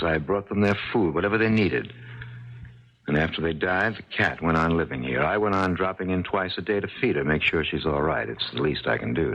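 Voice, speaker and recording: A strange, grieving older American man guarding a lost sweetheart's memory. His voice is very low and moves expressively as he tells things with slow, plain certainty. It sounds like a dull 1970s radio drama recording.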